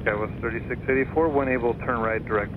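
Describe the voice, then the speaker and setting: A male departure controller gives procedural instructions quickly and with little melody. The aviation radio dulls his voice, and aircraft noise is plainly audible. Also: medium pitch, fairly even intonation, narrow, tinny audio. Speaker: departure air traffic controller.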